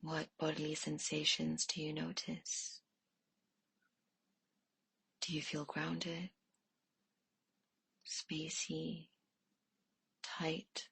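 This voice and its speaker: A woman leading a guided meditation in a soft, hushed way, leaving pauses between phrases. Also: sits low, creaky, breathy edge, no room sound, low-quality recording.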